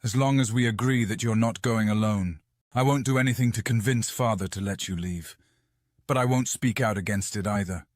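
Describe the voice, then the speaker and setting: Casting: male actor as stubborn protective fantasy brother, lowish voice, firm, guarded, dry studio sound. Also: normal speed, no echo, close to an everyday voice. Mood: reluctant. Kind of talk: audiobook drama acting.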